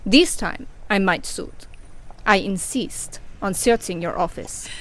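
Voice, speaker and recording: A woman's voice: medium pitch, slow, a little hiss or hum, close to an everyday voice. An actress playing a polite but scheming woman who speaks formally and turns threatening, in an audio play. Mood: prim menace.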